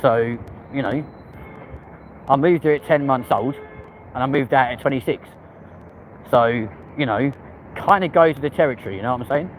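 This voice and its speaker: A man's voice: mid-range voice, moderate pace, long pauses, poor audio. A young man with a London accent, chatting casually with viewers on a live stream and using London slang. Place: outdoors on a busy city street. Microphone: handheld phone. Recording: phone audio with loud street noise.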